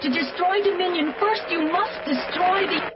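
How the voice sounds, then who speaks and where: Hunted rebel mother: very high, urgent pleading, radio drama buried in heavy noise. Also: normal speed. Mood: urgent, desperate. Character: desperate hunted rebel mother passing on a secret. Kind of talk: dramatic radio play acting. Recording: muffled, very noisy radio drama message.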